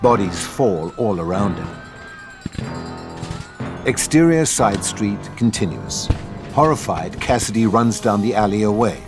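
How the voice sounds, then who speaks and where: Low-voiced man narrating over music. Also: lively intonation, unhurried, pauses often. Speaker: narrator reading stage directions. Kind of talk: scripted narration.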